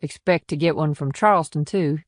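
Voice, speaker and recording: Man voicing a wry small-town policewoman, lifted pitch. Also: moderate pace, dry recording, clean studio sound, lightly acted. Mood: matter-of-fact. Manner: clipped sentences, folksy and matter-of-fact. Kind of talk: audiobook character dialogue.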